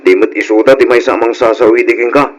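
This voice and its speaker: Chatty Ilocano husband played by an actor, medium pitch. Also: male voice, steady tone, quick pace, dull audio, natural voice. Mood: gossipy, concerned. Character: supportive, chatty husband, familiar and teasing. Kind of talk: Ilocano radio drama acting.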